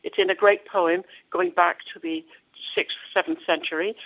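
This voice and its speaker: A woman's voice: fairly low pitch, steady tone, moderate pace. Woman poet on a tinny line. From Wales. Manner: passionate, laughs often, repeats words. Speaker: national poet, interview guest. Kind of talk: radio interview.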